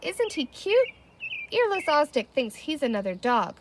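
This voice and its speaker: A feisty little girl from a children's storybook as a woman reader puts her on. She is excitable and emphatic, pitched high with very animated swings. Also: a little slow, a little hiss or hum, heavily acted. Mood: excited.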